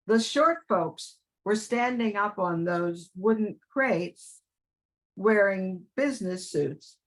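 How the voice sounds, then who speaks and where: A woman's voice: medium pitch, rough recording. An older woman with an American accent reading aloud her prepared personal memories of radio. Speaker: class member sharing radio memories.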